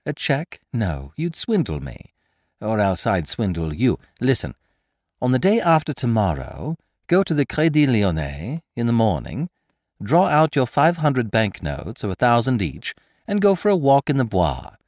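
A cocky gentleman thief, as a male narrator puts him on, brisk and mocking, pitched well above the reader's own voice and thrown loud with lively swoops. The storybook scheme is gleeful and taunting. The sound is close and dry. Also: no room sound, heavily acted. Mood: scheming. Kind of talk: audiobook character voice.

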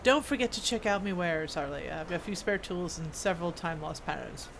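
A woman's voice: lowish voice, fairly quick, voice pushed down, close to an everyday voice. Lady doing a proud old dwarf smith from a fantasy game, folksy, some noise behind. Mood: warm. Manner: folksy, proud, reading scripted dialogue. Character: gruff hearty old male dwarf blacksmith.

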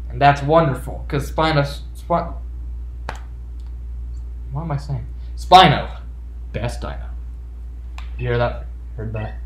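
A man's voice: mid-range voice, slow pace, pauses often, roomy sound. A man giving casual video game commentary with jokey, playfully sarcastic asides. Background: video game music.

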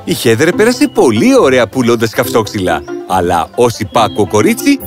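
A man narrating a children's fairy tale in an expressive storytelling way, from a script. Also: medium pitch, over music.

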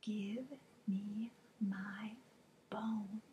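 A woman voicing a tiny mysterious ghostly storybook voice, eerie and demanding, in a slow breathy whisper. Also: mid-range voice, level tone, mellow, lo-fi sound, a little hiss or hum.

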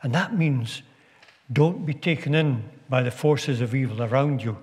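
A man preaching a sermon as a visiting minister, measured and deliberate with long pauses. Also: mid-range voice, a little mellow. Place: stone church. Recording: long church reverb.

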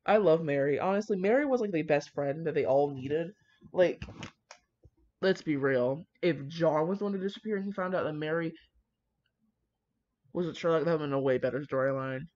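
A woman's voice: low-pitched, moderate pace, pauses often, darkish tone, dull audio. A young woman reading fan fiction aloud, with brash, slangy, profane casual commentary.

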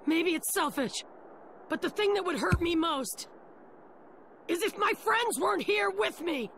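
A woman's voice: extremely high pitch, pushed and bright, exaggerated voice. A woman voicing a brash, stubborn, big-hearted teenage ninja boy in a scratchy voice for an anime game. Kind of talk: dubbed anime game acting.

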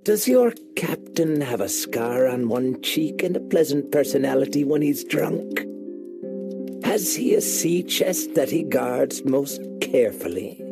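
A man voicing a sly, menacing pirate rogue with a raspy, gravelly voice in an audiobook. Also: medium pitch, expressive, music in the background, pitched above the performer's own voice. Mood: gruff. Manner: slow, sly, shifting from wheedling to threatening. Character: gravelly menacing pirate, pale one-handed sea rogue. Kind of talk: audiobook character voice.

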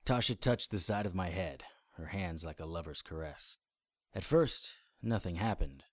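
A man playing a wry, defiant supernatural hero in a radio drama, with a husky voice and dry, noir-like storytelling. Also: deep voice, expressive, normal speed, dry recording. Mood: grim, intense. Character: wry, defiant male demon hunter and hero. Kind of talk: radio drama acting and narration.

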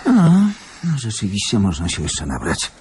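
An actor playing a streetwise, sardonic police detective who talks colloquially in a radio play. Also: male voice, deep voice, sing-song, moderate pace, a little hiss or hum. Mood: wry. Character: wry, streetwise, unhurried male police detective. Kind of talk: radio drama acting.

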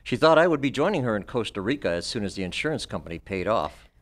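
A man's voice: on the low side, sing-song, quick pace. An older man playing a threatening, murderous doctor, a cold schemer, in an old-time radio drama read on stage. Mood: smug, cold. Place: on a stage, live performance. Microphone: microphone on a stand.

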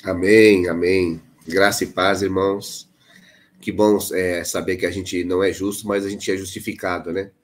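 A man's voice: deep voice, flat delivery, normal speed. A man in his forties with a cold and a nasal voice, leading a prayer group on a video call in a friendly, chatty way. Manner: friendly, chatty, lists names. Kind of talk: casual chat on a video call. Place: indoor room with a plain wall. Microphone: earphone headset mic on a video call.

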